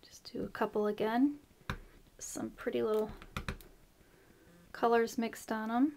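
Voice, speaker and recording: Chatty woman with a slightly rough voice. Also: fairly high pitch, fairly even intonation, a little slow, darkish tone, slight background noise. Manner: chatty, friendly explaining with fillers. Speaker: craft tutorial host. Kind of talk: casual stamping tutorial. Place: craft table indoors.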